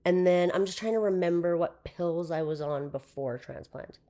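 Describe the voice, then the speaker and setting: Hoarse woman, chatty. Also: fairly low pitch, normal speed, dark tone. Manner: chatty, with frequent fillers and laughs. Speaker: podcast guest. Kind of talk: casual interview chat.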